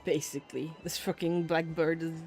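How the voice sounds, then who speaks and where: A streamer chatting casually in a rambling, giggly, expressive way. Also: mid-range voice, moderate pace, soft background music. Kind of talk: casual livestream chat.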